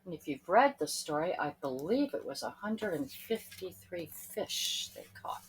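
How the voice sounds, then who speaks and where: A woman's voice: lowish voice, sing-song, normal speed, background noise. A woman reading a children's story aloud expressively, speaking to her young listeners in asides.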